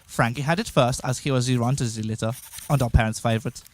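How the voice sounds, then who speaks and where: A man's voice: mid-range voice, fairly even intonation, fast, slight background noise, natural voice. A man acting a fussy, earnest baby-faced pig in a comic radio play. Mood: earnest, chatty. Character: fussy baby-faced pig, bereaved brother, storybook character.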